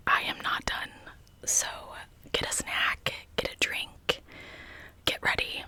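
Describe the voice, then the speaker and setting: A woman hosting an ASMR channel, narrating in a breathy, intimate whisper with casual asides. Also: pushed and bright, low-quality recording. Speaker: host of a true crime ASMR channel.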